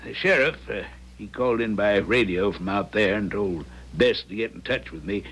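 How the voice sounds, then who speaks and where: A man's voice: lowish voice, moderate pace, a bit bright, slightly rough. An actor playing a polite, concerned small-town man in a 1970s radio drama, hesitant and plain-spoken. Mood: helpful.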